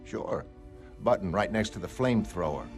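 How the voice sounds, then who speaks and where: A low-pitched middle-aged man, as an actor plays him, a former costumed hero in a comic-book drama. He is earnest and wistful, speaking hesitantly and reflectively. Music sits behind the voice. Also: lively intonation, normal speed. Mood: matter-of-fact.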